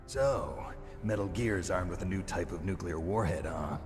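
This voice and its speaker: A man plays an unflappable video game operative with a very low, gruff voice. He is terse and wry, with music playing behind him. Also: normal speed, lightly acted. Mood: guarded. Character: gruff, unflappable male secret agent, dryly defiant. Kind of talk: dramatic video game voice acting.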